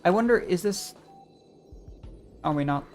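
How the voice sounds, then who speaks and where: A woman's voice: fairly low pitch, expressive, measured pace, a little mellow. Musing woman game streamer, faint music. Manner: musing, thinking aloud. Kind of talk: casual live game commentary.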